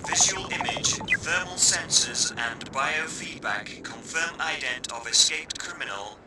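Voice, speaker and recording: A man's voice: on the high side, lively intonation, unhurried. A man voicing an emotionless scanning robot guard in a video game, with clipped, clinical phrasing. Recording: noisy game audio with ambience.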